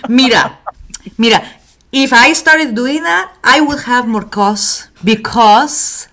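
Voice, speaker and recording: A woman born in Mexico co-hosting a casual chat show, lively and laughing often, dropping in Spanish words. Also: a little high, slow, a little hiss or hum. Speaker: co-host.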